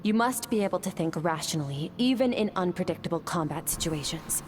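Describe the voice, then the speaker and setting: A woman's voice: lowish voice, brisk, slight background noise, natural voice. A woman voicing a cool, composed sword mentor. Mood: calm. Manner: even, composed, instructive. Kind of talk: game voice acting.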